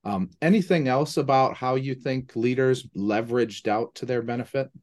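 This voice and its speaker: A man's voice: mid-range voice, normal speed. A man hosting a casual interview, asking informal, loosely built questions with lots of "like". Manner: informal, hedging questions full of "like". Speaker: host, interviewer.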